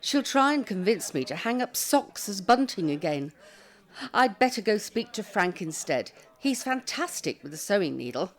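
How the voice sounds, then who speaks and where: Lively, bossy British old lady. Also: mid-range voice. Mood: fussy, exasperated. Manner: brisk, busybody, theatrical. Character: bossy, fussy, chirpy elderly woman care-home resident. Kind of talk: audio drama acting.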